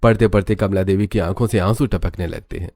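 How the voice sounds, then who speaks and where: A man's voice: sits low, fairly quick, vocal fry, a little mellow. A man reading scripted, dramatic narration for a cartoon story.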